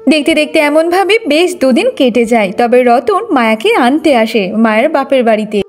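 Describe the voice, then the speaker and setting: A woman's voice: sits high, expressive, moderate pace. A woman reading scripted narration for a cartoon moral story.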